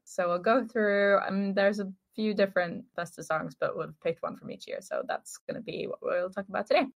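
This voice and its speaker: A woman's voice: medium pitch, steady tone, a little fast, vocal fry. A woman in her twenties hosting a casual fan chat podcast. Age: 20s. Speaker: podcast host.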